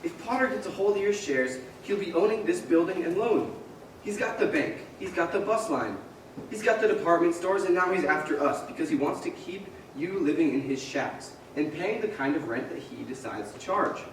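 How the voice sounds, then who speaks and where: A man's voice: a little high, animated, normal speed, close to an everyday voice. A man playing an earnest young small-town loan manager who pleads with people, in a staged radio play. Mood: urgent, pleading. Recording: echoey hall, distant mic, faint crowd noise.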